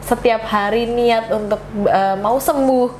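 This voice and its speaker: A woman's voice: fairly high pitch, a little slow, crowd noise, rough recording. A woman hosting an interview podcast, asking questions and affirming her guest often. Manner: encouraging, frequent affirmations. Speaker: podcast host.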